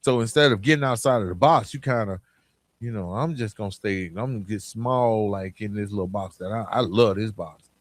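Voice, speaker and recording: A man's voice: on the low side, fairly quick, no room sound. A middle-aged American man giving a motivational solo podcast talk, speaking colloquially and directly to listeners with emphatic repetition and rhetorical questions. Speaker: podcast host.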